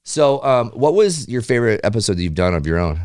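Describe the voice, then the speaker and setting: A man's voice: on the low side, big pitch swings, fast talker, darkish tone, clean tone, no room sound, studio quality. A man hosting a podcast, talking in an informal, conversational way. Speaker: podcast host. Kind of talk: casual podcast chat.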